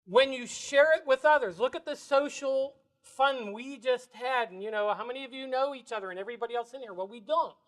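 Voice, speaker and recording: Male presenter, big voice, playful and laughing, warming up a live crowd. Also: very high-pitched, fairly quick, hardly any pauses. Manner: playful, laughs often, builds energy. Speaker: spiritual workshop presenter. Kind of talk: lively talk to a live audience. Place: bookstore event room.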